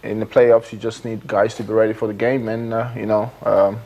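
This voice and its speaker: A man in his twenties from Nigeria, a footballer being interviewed in a TV studio, who talks in halting phrases full of fillers and restarts. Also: lowish voice, level tone, darkish tone, poor audio. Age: 20s. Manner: hesitant, many fillers and restarts. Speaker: guest footballer.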